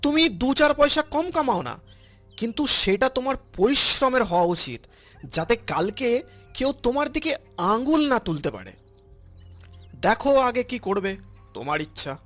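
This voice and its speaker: A man's voice: very high voice, big pitch swings, measured pace, pauses often, a bit bright, faint music behind, heavily acted. A man voicing a principled older father in a cartoon, earnest and preachy as he admonishes. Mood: disappointed, scolding. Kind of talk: cartoon voice acting.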